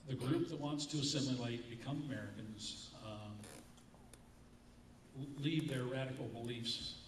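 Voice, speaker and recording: A man, moderating a candidate forum and posing a question in a measured, deliberate way. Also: mid-range voice, a little hiss or hum. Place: community forum room. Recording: echoey room from far off.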